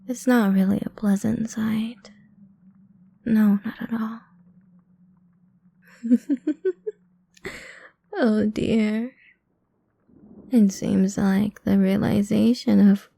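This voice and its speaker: A woman's voice: medium pitch, heavily acted. A woman playing a cruel, playful female vampire in an ASMR roleplay, taunting her prey in a condescending, half-whispered way. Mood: amused menace. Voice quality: dark, soft, breathy, creaky.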